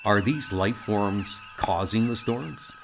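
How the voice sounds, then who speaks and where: A man playing a thoughtful, self-assured starship captain in an amateur comic audio drama, speaking in a questioning, deliberate way. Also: lowish voice, creaky, over music.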